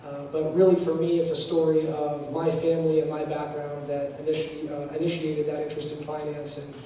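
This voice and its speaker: A man's voice: on the high side, completely flat delivery, a little fast, mellow. A man from the Bay Area telling students about his background at an event, in a conversational way with many fillers and restarts. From San Francisco Bay Area. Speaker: panel speaker. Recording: echoey room, distant mic, faint noise.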